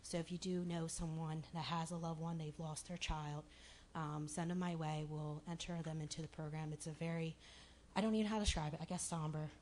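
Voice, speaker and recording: Raspy, somewhat low woman, city official; casual, filler-heavy public remarks over faint room hiss. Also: fairly even intonation, fairly quick, darkish tone. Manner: chatty, full of fillers and restarts. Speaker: city official at commission meeting. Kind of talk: public address at a meeting. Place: city commission meeting room.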